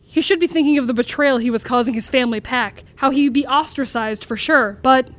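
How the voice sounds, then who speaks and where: High-pitched woman reading a comic story. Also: fairly quick, a bit bright. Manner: amused, breaking into laughter as she reads.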